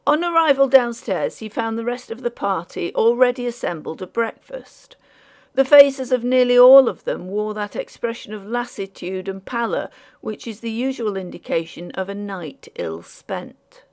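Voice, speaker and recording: A woman narrating an audiobook story in a measured, formal storytelling manner. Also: on the high side, animated, darkish tone.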